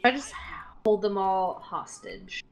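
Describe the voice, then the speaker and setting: A woman's voice: mid-range voice, expressive, slow pace. A young woman talking casually as a co-player in a game, with a playful, pleading, teasing manner. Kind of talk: casual gameplay chat. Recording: faint game gunfire behind. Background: gunfire from a war game.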